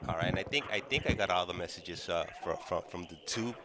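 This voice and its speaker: A man hosting a casual live stream, speaking haltingly and often stammering over repeated words. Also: deep voice, crowd noise. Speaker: host of a call-in stream.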